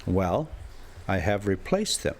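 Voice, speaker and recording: A man hosting a travel vlog from inside a motorhome, rambling casually and reading a story aloud in a halting, folksy way. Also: low voice, sing-song, slight background noise. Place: inside a motorhome while driving.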